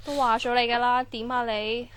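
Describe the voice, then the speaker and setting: Woman as nervous high-voiced student. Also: flat delivery, moderate pace, a bit bright, smooth, close to an everyday voice. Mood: uneasy. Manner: worried, casual youthful talk. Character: timid young female student camper. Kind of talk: Cantonese radio drama acting.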